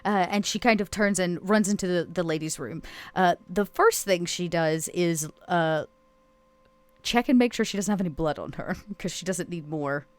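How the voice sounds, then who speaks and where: A woman with a slightly low voice, recorded close and dry, plays in a tabletop game. She casually narrates what her character does and chats with the storyteller as she goes. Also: moderate pace, no room sound.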